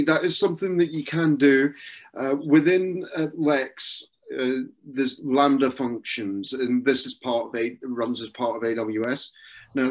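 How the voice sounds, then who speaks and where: A Scottish man with a mid-pitched voice takes questions as a consultant. He explains technical matters informally, stopping and starting as he gathers each point. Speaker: consultant presenting and answering questions.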